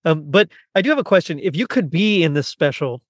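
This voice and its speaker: A man's voice: fairly high pitch, lively intonation, a little fast, dry recording, studio quality. A middle-aged man in a casual fan chat, talking as a podcast co-host.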